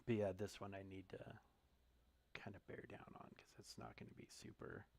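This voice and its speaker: A man streaming a mobile game, thinking aloud in a relaxed, muttering way as he clicks through menus. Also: low-pitched, moderate pace, gravelly. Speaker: gaming streamer. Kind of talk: casual live-stream commentary while playing.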